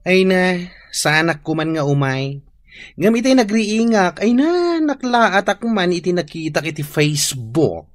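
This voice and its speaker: Gossipy young Filipino friend for radio drama: excitable, mid-to-low voice, recorded close and dry. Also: female voice, a little slow, no echo. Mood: agitated. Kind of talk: Ilocano radio drama acting.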